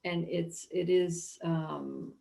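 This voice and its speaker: A woman curator speaking reflectively and deliberately in an informal panel discussion. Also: fairly low pitch, steady tone, creaky, mellow. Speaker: art museum curator.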